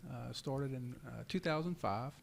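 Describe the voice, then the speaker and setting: Male interview guest, gravelly, calm and measured, faint hiss in his office recording. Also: medium pitch. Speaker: safety consultant, guest. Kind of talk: interview answers.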